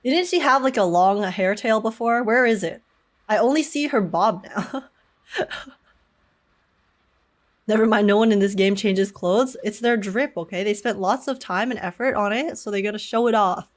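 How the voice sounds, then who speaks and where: A woman's voice: mid-range voice, moderate pace. A young woman chatting casually as she livestreams a game, with a giggly, slangy manner. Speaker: live streamer.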